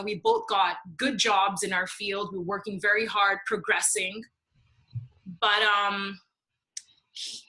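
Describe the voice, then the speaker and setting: Bright-voiced woman telling her personal story. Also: mid-range voice, rough recording. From born in India, raised in Nigeria. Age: late 20s. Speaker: podcast guest, mental health advocate.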